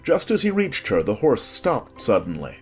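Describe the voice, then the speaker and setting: A man with a husky voice reading a scripted story as an expressive audiobook narrator. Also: medium pitch, moderate pace. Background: faint music.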